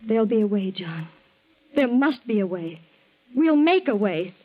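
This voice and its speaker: A woman's voice: medium pitch, very animated, a little slow. A woman playing an intense, scheming sister-in-law in 1940s radio acting. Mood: urgent, conspiring. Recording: thin, phone-like vintage radio recording.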